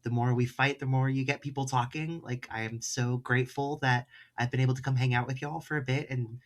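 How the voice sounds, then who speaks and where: Casual, informal podcast guest, medium pitch. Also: fairly even intonation, brisk.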